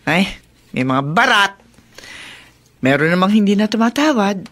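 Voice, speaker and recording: A woman acting a caring, knowing middle-aged widowed mother in a Filipino radio drama, gently teasing and motherly. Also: mid-range voice, animated, unhurried. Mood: chatty.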